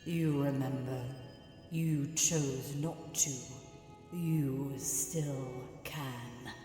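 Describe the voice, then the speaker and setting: A woman's voice: a bass voice, steady tone, drawn-out words, mellow, faint music behind, exaggerated voice. An actress playing a mysterious otherworldly female spirit in a fantasy game, cryptic and portentous, with heavy echoing reverb. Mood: ominous, cryptic. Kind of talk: dramatic fantasy game voice acting. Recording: cavernous echo with long reverb tail.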